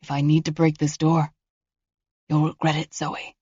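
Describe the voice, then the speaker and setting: An unhinged male killer taunts through a locked door, put on by a woman reading a horror story. He is mocking and sing-song at first, then drops to hushed threats. The recording is dull and lo-fi, with no room sound. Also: deep voice, monotone, moderate pace, husky, dry recording. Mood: menacing.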